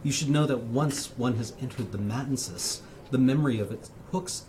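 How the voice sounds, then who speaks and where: A man reading in the voice of a solemn, fearful occult dreamer, in a measured, formal, literary manner with hushed phrase endings. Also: mid-range voice, a little hiss or hum, lightly acted. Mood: solemn, confiding.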